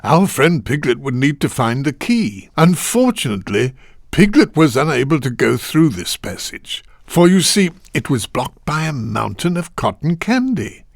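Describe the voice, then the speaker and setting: Lilting, genteel storybook narrator. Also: male voice, on the high side, dry recording, studio quality, exaggerated voice. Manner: deliberate, expressive, gently musing, old-fashioned phrasing. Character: genteel, kindly storybook narrator guiding a young player. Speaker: video game narrator. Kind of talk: scripted storybook narration for a children's game.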